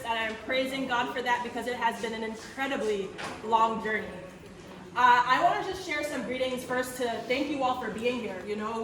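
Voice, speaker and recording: A woman in her thirties, from Barbados, giving an earnest talk to a church audience and asking them questions. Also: on the high side. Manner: earnest, restarts phrases, asks the audience questions. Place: church in the Caribbean. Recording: echoey church room from far off, faint noise.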